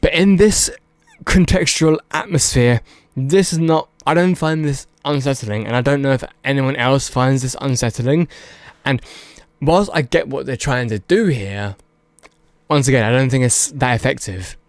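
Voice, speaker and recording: A man's voice: mid-range voice, normal speed, no echo. A man giving casual, unscripted video commentary, rambling and hedging as he goes and repeating words for emphasis. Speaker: video host.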